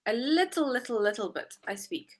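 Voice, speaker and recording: A woman's voice: on the high side, big pitch swings, brisk. A woman in her twenties with a South African accent, chatting as an English tutor on a video call and explaining things patiently. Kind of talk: casual video-call conversation.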